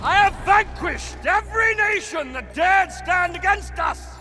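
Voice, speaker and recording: An actor playing a boastful Roman tyrant general in a video game, declaiming pompously like an orator. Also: male voice, very high-pitched, lively intonation, a little slow, bright, forceful tone, soft background music, heavily acted. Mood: grandiose, gloating. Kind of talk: dramatic game villain acting.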